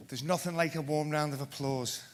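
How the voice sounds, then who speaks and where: A man's voice: on the high side, fairly even intonation, brisk, very noisy. A man giving a conference talk, telling his own story in a blunt, self-deprecating and joking way. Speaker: panel speaker, former PE teacher.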